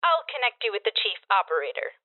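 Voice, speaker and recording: An actress playing a formal, politely unbudging telephone operator in a radio drama, clipped and officious, heard through a telephone filter. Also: female voice, medium pitch, very animated, fast. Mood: polite, mechanical. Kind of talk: old-time radio drama acting. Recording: thin, narrow telephone-line sound.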